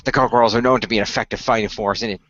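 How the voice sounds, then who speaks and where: A man narrating an improvised role-playing story as game master, explaining things in a halting way. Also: fairly low pitch, steady tone, a bit bright, no room sound, highs cut off. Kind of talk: improvised storytelling and in-character talk for an audio role-play.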